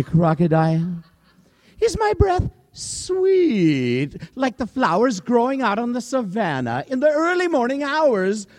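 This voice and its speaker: A male storyteller voicing a menacing, hungry lion king who speaks slowly and darkly in drawn-out, sinister phrases. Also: sits high, a little mellow, voice pushed up, heavily acted.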